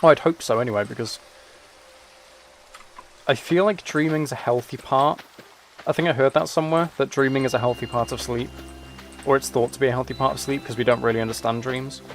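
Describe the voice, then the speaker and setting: A man with a mid-pitched voice is live streaming a game. He talks casually to his viewers and muses aloud in a rambling way, with music playing behind him. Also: fairly even intonation, a little fast. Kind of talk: casual chat with viewers while gaming.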